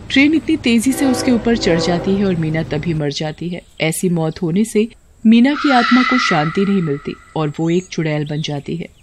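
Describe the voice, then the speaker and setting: A woman narrating a scripted horror story in a steady storytelling manner. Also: lowish voice, a little hiss or hum.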